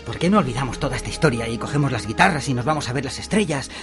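Blustering male music producer, played by an actor, Spain accent, quick, very animated, comic radio fiction. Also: mid-range voice, natural voice. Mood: tender. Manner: fast, colloquial, blustering. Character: hot-blooded, bluffing small-time male music producer. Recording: loud music and crowd behind.

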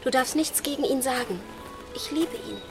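An actress playing a dreamy, lovestruck young sister with a gently teasing manner in a radio play. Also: female voice, high voice, moderate pace, gravelly, over music. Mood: dreamy, adoring. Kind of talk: German radio play acting.

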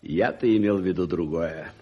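An actor playing a wealthy, self-assured older gentleman uncle in a radio play, with a theatrical, condescending manner. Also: sits low, moderate pace. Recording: dull muffled 1981 radio recording.

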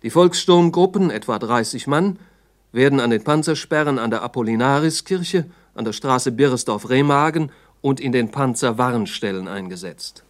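A man's voice: mid-range voice, lively intonation, normal speed. A male narrator in a 1960s radio play, with a mid-range voice. He reads scripted documentary-style narration in a sober, formal manner, as if delivering an official report.

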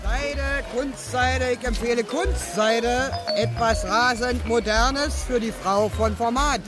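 A man's voice: extremely high pitch, steady tone, takes it slowly, music in the background. A man playing an affected street salesman in a radio drama, drawing out his coaxing sales patter. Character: affected male street salesman of fashionable fabrics.

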